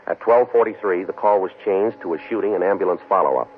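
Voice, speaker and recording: An actor as a deadpan police detective. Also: male voice, sits low, fast, lightly acted. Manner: flat, deadpan, matter-of-fact. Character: terse, patient police detective narrator. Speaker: detective and narrator. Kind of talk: clipped 1950s radio drama narration. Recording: tinny lo-fi old radio broadcast with hiss.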